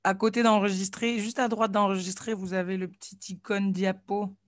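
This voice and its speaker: A woman's voice: medium pitch, level tone, moderate pace, no echo, studio quality. A young woman hosting a web conference as its moderator over a video call. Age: young adult. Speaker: webinar moderator. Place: indoor room at home.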